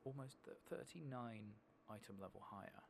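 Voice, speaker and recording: A man doing soft-spoken ASMR commentary over a game, casually rambling and thinking aloud with the odd swear. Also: fairly low pitch, normal speed, vocal fry, background noise. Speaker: gaming streamer.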